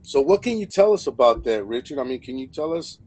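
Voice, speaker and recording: A man's voice: medium pitch, very animated, a little fast, darkish tone. A man hosting a live stream in a casual, rambling way, full of fillers and repeated words. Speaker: host.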